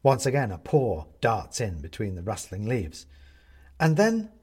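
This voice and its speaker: Man, audiobook narrator, dramatic scripted storytelling, expressive, animated pitch, slightly rough tone. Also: measured pace.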